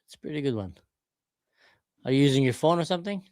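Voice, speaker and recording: A man's voice: mid-range voice, lively intonation, fast, darkish tone, no echo, clean studio sound. A man in his forties from Israel, chatting with strangers on a livestream and asking lots of questions. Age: 40s. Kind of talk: casual video chat. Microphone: desk camera mic.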